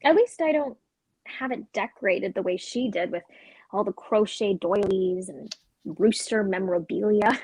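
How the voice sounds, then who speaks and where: A woman's voice: mid-range voice, normal speed, darkish tone, slightly rough, no room sound, rough recording. A woman playing an independent, guarded, sentimental girlfriend in a naturalistic script reading, reserved in manner. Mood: mildly defensive.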